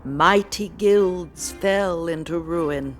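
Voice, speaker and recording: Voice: mid-range voice, extremely slow, fairly bright tone. A narrator giving solemn, scripted fantasy storytelling in a formal style. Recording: music under the voice. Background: instrumental music.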